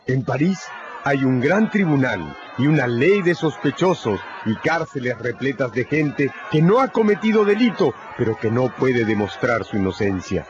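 A man's voice: mid-range voice, very animated, normal speed. A man narrating a radio drama from a script in a dramatic style. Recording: dull, noisy radio recording.

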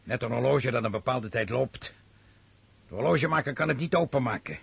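A bossy brooding emperor in a radio play, really raspy n creaky, commanding delivery. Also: male voice, medium pitch, expressive, fairly quick, a bit bright, dry recording, heavily acted. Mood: irritable, brooding. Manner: commanding, declamatory. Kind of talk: Dutch radio play acting.

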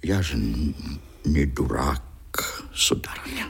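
An actor playing a proud, aggrieved tradesman bridegroom in a radio drama, halting and stiffly courteous. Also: extremely low pitch, gravelly, slightly rough, exaggerated voice. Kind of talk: theatrical Russian radio drama acting.

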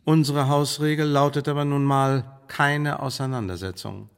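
A man's voice: medium pitch, fairly even intonation, normal speed, clean tone, close to an everyday voice. An actor playing a stern, cautious British spymaster in a German radio play, speaking formally and measuredly as he warns and briefs. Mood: firm, cautious. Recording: reverberant hall-like room.

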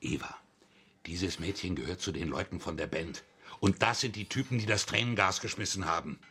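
An actor playing a bitter, accusing small-time crook in a radio drama, husky-voiced, coarse and confrontational. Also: male voice, sits low, fairly quick, sharp tone. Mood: scornful.

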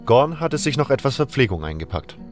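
A man's voice: mid-range voice, very animated, brisk, faint music behind. A man reading scripted first-person narration for a fantasy audio drama.

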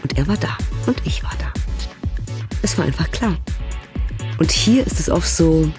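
A woman's voice: deep voice, measured pace, music in the background. A woman playing an outspoken, exasperated partner in a cross-cultural couple, retelling their exchanges in a chatty, conversational way for a radio drama. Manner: chatty, animated retelling, quoting both sides.

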